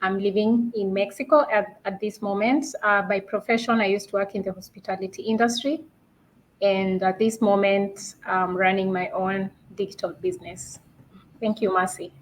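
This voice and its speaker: A woman's voice: mid-range voice, fairly even intonation, normal speed, slight background noise, poor audio. Earnest Kenyan woman guest. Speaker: panel guest, online business owner. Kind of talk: casual panel talk.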